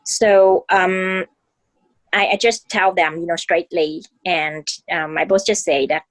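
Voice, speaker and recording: A woman's voice: lowish voice, fairly even intonation, takes it slowly, rough recording. A woman host from Vietnam with a Vietnamese accent, speaking candidly and hesitantly in casual conversation, with many fillers and repeats.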